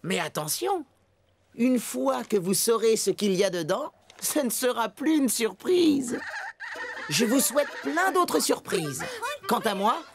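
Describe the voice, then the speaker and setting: A woman voicing an excitable young male penguin in a children's cartoon, playful and full of exclamations. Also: fairly high pitch, measured pace, gravelly, slight background noise, heavily acted. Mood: chirpy narrating. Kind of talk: children's cartoon dialogue and letter narration.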